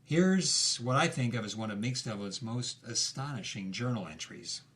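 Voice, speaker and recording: A man's voice: mid-range voice, expressive, normal speed, fairly bright tone. A man narrating a scripted story in the present tense as a podcast storyteller.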